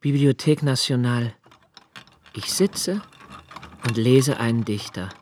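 An actor playing a sensitive, impoverished young poet, speaking an introspective, deliberate monologue in a radio play. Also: male voice, mid-range voice, a little mellow, slight background noise, natural voice. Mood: proud, self-justifying. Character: sensitive, brooding, poor young foreign poet and diarist. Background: music.

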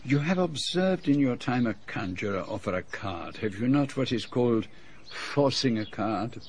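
An actor in a radio drama playing a shrewd, grave foreign detective who speaks formally and deliberately. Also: male voice, medium pitch, lively intonation, slight background noise, lightly acted. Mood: explanatory, reflective. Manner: formal, deliberate phrasing without contractions.

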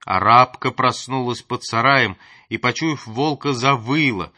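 Bright-voiced man narrating a storybook, dry sound. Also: mid-range voice, unhurried, no echo. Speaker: audiobook narrator. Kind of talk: expressive scripted storybook narration.

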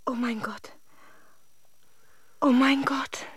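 Radio drama secret lover: high-pitched, anxious, torn, agitated, repeating herself haltingly. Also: female voice, steady tone, breathy edge, no room sound, full character voice. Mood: startled.

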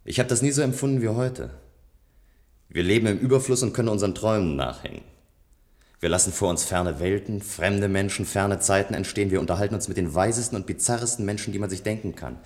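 An actor playing a restless, playful man of a future city in a German radio play, with a measured, musing delivery. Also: fairly low pitch, darkish tone, close to an everyday voice.

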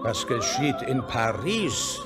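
A man's voice: mid-range voice, wildly swooping pitch, slow pace, over loud music. An actor in a German radio drama playing a bossy, jovially cynical Prussian statesman who talks in brusque, clipped commands and asides. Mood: indignant, blustering. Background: opera performance.

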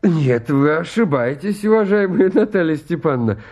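A man playing an excitable, pedantic, stubborn landowner suitor in a radio farce, arguing with fussy politeness. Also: on the high side, normal speed. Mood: indignant, insistent. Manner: fussily polite, pleading, argumentative. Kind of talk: Russian radio comedy acting.

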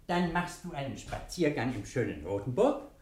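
An actor playing a genial, teasing elderly uncle and judge in a German radio play, with a husky voice and an avuncular manner. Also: male voice, mid-range voice, very animated, normal speed, darkish tone. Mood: soothing. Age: older.

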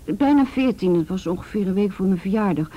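A woman's voice: medium pitch, animated, a little fast, warm tone, muffled recording, close to an everyday voice. An actress playing a frightened teenage girl in a Dutch radio play, stammering and repeating words as she recounts painful memories. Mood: frightened, agitated.

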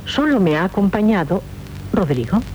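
An actress playing an imperious, gracious young Castilian princess in a radio drama, regal and assured, with a Castilian Spanish accent. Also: female voice, mid-range voice, very animated, moderate pace, a little mellow, close to an everyday voice. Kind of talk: 1970s radio drama acting. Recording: dull, noisy 1970s radio recording.

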